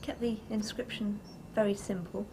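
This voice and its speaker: A woman's voice: medium pitch, steady tone, normal speed, noisy background. A woman, giving an informal account as an organiser. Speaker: event organiser.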